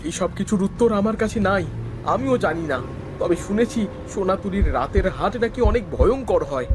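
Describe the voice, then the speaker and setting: A man's voice: high-pitched, expressive, brisk, background noise. A man playing a wary, curious young hero who also narrates a horror cartoon dramatically.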